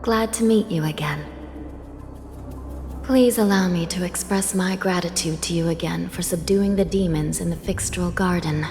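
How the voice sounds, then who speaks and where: A woman's voice: lowish voice, moderate pace, darkish tone, close to an everyday voice. An actress voicing a solemn young female judge of the underworld in a video game, polite and formal, with wistful, ominous delivery. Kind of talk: scripted video game dialogue. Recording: long hall reverb, music behind.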